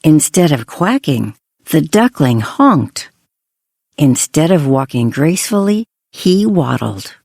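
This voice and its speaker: Casting: female children's narrator, scripted fairy-tale reading, mellow tone, lively intonation. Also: low voice, takes it slowly, vocal fry, dry recording, studio quality.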